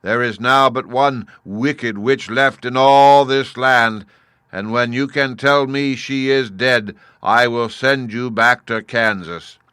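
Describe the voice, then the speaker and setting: A man voicing a great disembodied head that rules the land, slow and booming. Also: fairly even intonation, pushed and bright, smooth, no room sound. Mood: commanding. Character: booming disembodied giant head, stern ruler.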